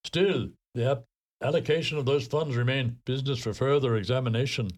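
An actor playing a measured, officious Irish small-town postmaster in a radio play, with a formal, solemn manner. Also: male voice, medium pitch, fairly even intonation, close to an everyday voice.

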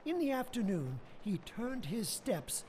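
A man narrating a stage radio play with expressive storytelling. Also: high voice, measured pace, slight background noise.

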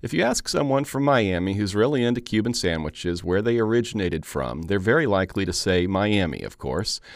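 A man hosting a public radio interview show, introducing his guests in a measured, friendly way. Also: on the low side, dry recording, studio quality.

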